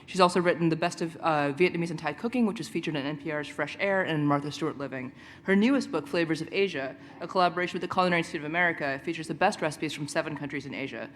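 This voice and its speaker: A woman's voice: deep voice, a little hiss or hum. A woman reading a prepared introduction of the next presenter at a conference. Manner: quick, reading a prepared bio. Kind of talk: scripted introduction.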